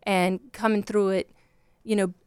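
A woman's voice: mid-range voice, level tone, unhurried, smooth, dry recording, clean studio sound. A woman from Virginia with a Southern accent speaking earnestly as a guest in a casual podcast chat. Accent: Southern American, Virginia. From Virginia, USA. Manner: earnest, heartfelt, with many restarts. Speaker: guest, divorce coach.

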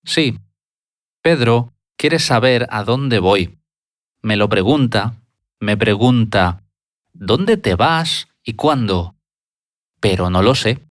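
Brief: man, lesson speaker, slow and low, dry close sound, repeats every answer in full sentences. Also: lively intonation, no echo. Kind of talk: slow, deliberate lesson dialogue.

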